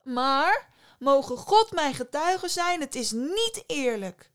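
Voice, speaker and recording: A woman voicing a cheeky, clever young male fisherman who talks in a casual, slangy, quick-witted way. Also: high voice, sing-song, a bit bright, pitched above the performer's own voice, heavily acted.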